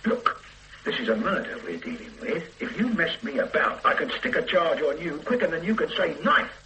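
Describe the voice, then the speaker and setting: A blunt police inspector in a vintage radio drama who grinds away at a reluctant witness. His voice is bright and lively, moving a lot in pitch. There is a faint hiss behind him. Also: moderate pace, full character voice, rough recording. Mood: pressing. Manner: pressing, sardonic, threatening when stonewalled. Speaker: police detective questioning a witness. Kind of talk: 1970s-80s radio drama acting.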